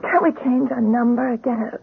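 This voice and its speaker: A woman's voice: a little high, fairly even intonation, moderate pace, vocal fry, a little hiss or hum. An actress playing a frightened, emotional young wife of a policeman in a radio drama, faltering and breathless. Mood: uneasy. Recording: thin, phone-like old radio broadcast.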